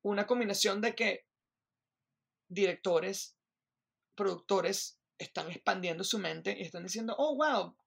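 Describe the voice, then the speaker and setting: A woman from Venezuela with a Venezuelan accent, talking as a guest in an interview in an animated way, restarting sentences and checking in with the listener. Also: medium pitch, measured pace, creaky, breathy edge. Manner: animated, restarts, keeps asking "me entiendes". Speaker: Broadway performer, guest. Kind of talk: interview conversation.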